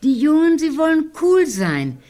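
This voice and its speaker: A woman's voice: high voice, big pitch swings, unhurried, warm tone. An actress playing a fond, soothing woman in a radio play about relatives. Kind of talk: German radio play acting.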